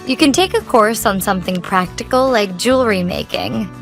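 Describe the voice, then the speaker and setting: A woman co-hosting a scripted English lesson, giving clear, instructive tips. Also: medium pitch, normal speed. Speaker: co-host. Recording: soft guitar music behind.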